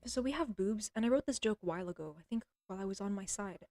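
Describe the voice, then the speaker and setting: A young woman talks straight into her streaming mic from home, wandering off track and interrupting herself. Her voice is a little throaty, and she clears it often. The sound is close and dry, with no room echo. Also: mid-range voice, expressive, fast, a little mellow. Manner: rambling, self-interrupting, blunt and crude. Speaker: livestreamer. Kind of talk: casual rambling monologue. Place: at home with a cat.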